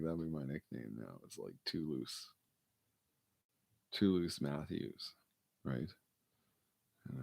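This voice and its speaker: Gravelly low-voiced man rambling slowly. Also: darkish tone, no echo. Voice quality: gravelly baritone. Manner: meandering, self-deprecating, mumbled asides. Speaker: solo broadcaster. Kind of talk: rambling late-night monologue.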